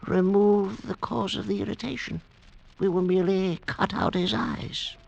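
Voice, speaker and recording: An actor playing a deferential, pedantic village doctor in old radio drama style, formal and hesitant, with a gravelly, husky voice. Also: male voice, high voice, steady tone, normal speed, lo-fi sound, loud music behind the voice. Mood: clinical, pedantic. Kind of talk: 1940s-50s radio drama acting.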